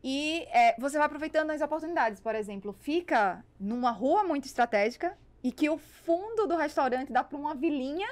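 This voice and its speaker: A woman's voice: high-pitched, lively intonation, fairly quick, hardly any pauses. A woman in her thirties with a Brazilian accent, chatting casually and effusively on a podcast panel. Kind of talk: casual, chatty interview talk. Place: small foam-treated podcast studio. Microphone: podcast mic on boom arm.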